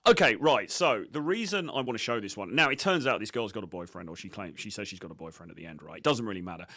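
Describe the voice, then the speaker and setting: Low-pitched man coaching with blunt confidence. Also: lively intonation, fast talker, sharp tone, no echo, clean studio sound. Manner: blunt, sweary, self-assured. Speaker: dating coach presenter. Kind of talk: informal coaching commentary on video clips.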